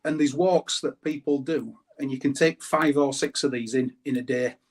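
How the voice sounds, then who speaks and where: A man's voice: medium pitch, fairly even intonation, normal speed. A British man, a guest on a show, explaining things in casual conversation. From United Kingdom.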